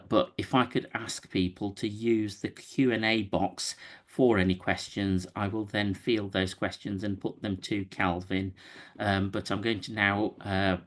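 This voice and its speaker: Lower-voiced man chairing a webinar. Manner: measured, hesitant with frequent fillers. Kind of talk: introducing a speaker.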